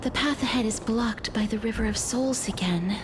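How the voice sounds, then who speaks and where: A woman voice-acting a gentle, solemn young woman in a video game, speaking softly in measured, formal phrases with a breathy edge. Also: on the high side, noisy background, close to an everyday voice. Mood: calm, wistful. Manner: soft, measured, formal and polite. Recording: game music behind the voice.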